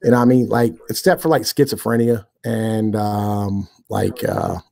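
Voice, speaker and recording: A man's voice: lowish voice, steady tone, normal speed, vocal fry, dark tone. A man in his thirties talking bluntly as a guest, swearing freely and using street slang, checking in with "you know what I mean.". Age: 30s. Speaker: podcast guest. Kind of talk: casual interview chat.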